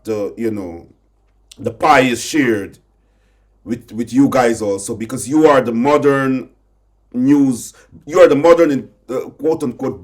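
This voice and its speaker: A man's voice: mid-range voice, darkish tone. A man with a Guyanese accent asks his guest questions in long, winding turns. He circles back, repeating words and restarting as he goes. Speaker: interviewer. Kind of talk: conversational interview.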